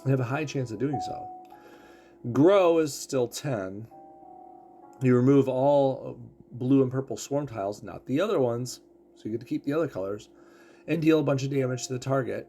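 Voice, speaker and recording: A man casually explaining a game character's abilities, throat rough as he is losing his voice. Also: mid-range voice, a little mellow. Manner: explanatory, walks through ability text. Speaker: game streamer.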